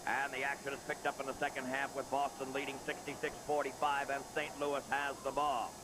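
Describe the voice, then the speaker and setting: A man's voice: mid-range voice, completely flat delivery, brisk. A man calling basketball play-by-play in urgent, clipped phrases. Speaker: play-by-play sports commentator. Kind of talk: excited basketball game commentary. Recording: very noisy old archive game recording.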